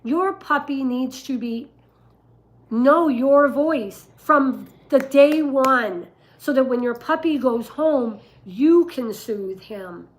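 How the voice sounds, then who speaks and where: An older woman with a rough voice, telling stories and giving advice casually on a livestream, in an emphatic, deliberate way. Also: sits high. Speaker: dog breeder and livestream host.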